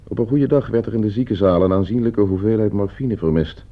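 An actor playing a coldly scheming gentleman heir in a radio play, suave, calculating and condescending. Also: lowish voice, a little fast, natural voice. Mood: coolly probing. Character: coldly scheming, broke gentleman heir plotting his uncle's murder. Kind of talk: Dutch radio play acting. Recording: muffled old radio play recording.

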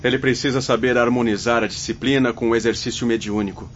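Stern, formal official played by an actor. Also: male voice, mid-range voice, fairly even intonation, fast talker, natural voice. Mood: cautioning. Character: stern, concerned male guard official of spirit colony. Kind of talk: radio drama acting. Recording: dull recording with faint ambient noise.

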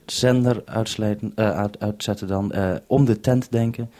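A man presenting over a two-way radio link, talking in a measured, procedural way. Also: on the low side, steady tone, mellow.

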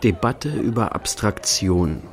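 A man's voice: fairly low pitch, lively intonation, slow pace, soft background music. A man acting as the narrator of a scripted radio play, observing an art-world party. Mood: observational.

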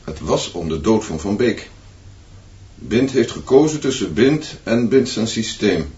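A man's voice: lowish voice, animated, measured pace, dark tone. An actor playing a grave male schoolteacher who speaks in solemn, declarative statements in a radio drama. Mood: solemn, firm. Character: grave male schoolteacher explaining a colleague's downfall. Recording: echoey room, dull sound.

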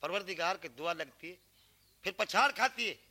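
A man's voice: sits high, expressive, normal speed, bright, forceful tone. A man performing a folk tale as a singer-storyteller, moving between spoken narration and sung verse and posing questions that he answers himself. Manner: asks rhetorical questions and answers them. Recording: cassette field recording with instrumental music.

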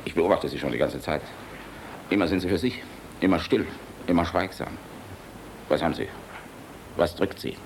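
A man's voice: low-pitched, moderate pace, pauses often, a little hiss or hum. An actor playing a boisterous, cynical, fatherly middle-aged general in a German radio play, hearty and teasing, commanding one moment and confiding the next. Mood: quiet probing. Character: boisterous, jovial, cynical Luftwaffe general, fatherly toward young officers.

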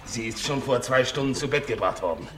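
Male actor as blustering, servile publican for old radio play; medium pitch, hard bright tone. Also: brisk, close to an everyday voice. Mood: bustling, servile. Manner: blustering with equals, servile with betters. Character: coarse, obsequious tavern keeper and father, Tom Parsons. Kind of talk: 1950s German radio play acting.

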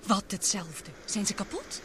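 A woman's voice: medium pitch, big pitch swings, unhurried, noisy background. An actress playing a spirited, teasing, curious young woman in a Dutch radio drama. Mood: puzzled, worried.

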